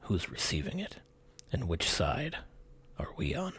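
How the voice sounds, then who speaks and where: A man with a husky, gravelly voice narrates a scripted mystery documentary in short, suspenseful, dramatic phrases. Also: lowish voice, huge pitch swings, unhurried, fairly bright tone. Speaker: channel host narrator.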